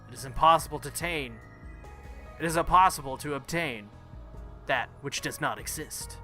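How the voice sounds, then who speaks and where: A man voicing a formal, resolute young female warrior king from a visual novel, speaking in measured sentences. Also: fairly high pitch, sharp tone, soft background music. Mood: firm, grave.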